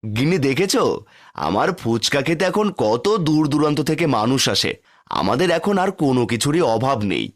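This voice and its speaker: A man's voice: mid-range voice, very animated, brisk. A man voicing a humble, kindly, honest street-food seller and father in a cartoon. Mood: grateful, earnest. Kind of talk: cartoon voice acting.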